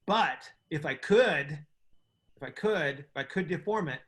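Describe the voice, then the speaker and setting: A man's voice: fairly high pitch, expressive, fairly bright tone. A man explaining things informally on a group call as host and coach, often repeating his words and checking for agreement. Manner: halting, repeats words, asks checking questions.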